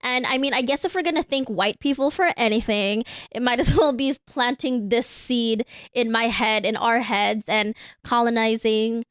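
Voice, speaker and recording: A young adult woman co-hosting a podcast in casual chat, animated, swearing freely and acting out quotes. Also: on the high side, moderate pace, hardly any pauses, clean tone, dry recording. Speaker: podcast co-host.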